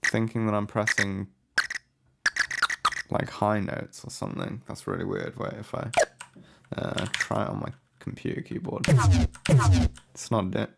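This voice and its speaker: A man giving a casual, unscripted software walkthrough as a tutorial presenter, low-key and hesitant in manner. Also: sing-song, a little slow, vocal fry, pauses often, very noisy. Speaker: music production tutorial presenter.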